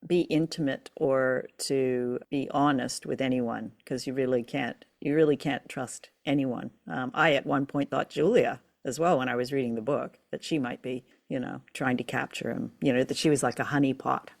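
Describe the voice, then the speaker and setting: A woman's voice: rumbling low, steady tone, moderate pace, creaky, hardly any pauses. A woman co-hosting a casual discussion show, speaking in a conversational, playful way. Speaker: co-host. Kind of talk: casual discussion of an old radio drama.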